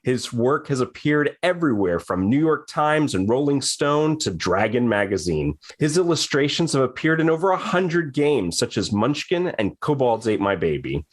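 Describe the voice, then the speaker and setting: A man hosting a podcast, reading a scripted guest introduction and asking questions in an upbeat, welcoming way. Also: medium pitch. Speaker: podcast host.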